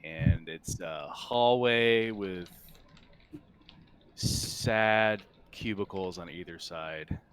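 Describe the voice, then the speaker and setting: Low-voiced man running a tabletop game. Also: very slow, fairly bright tone, clear, resonant tone. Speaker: game master. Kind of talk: improvised tabletop game narration. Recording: faint background noise.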